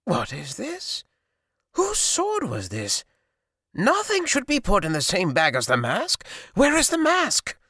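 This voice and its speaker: Shrill, wailing old sorcerer. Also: male voice, huge pitch swings, slow, sharp tone, husky, no echo, studio quality, full character voice. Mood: demanding. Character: old male mountain sorcerer, grieving and raging.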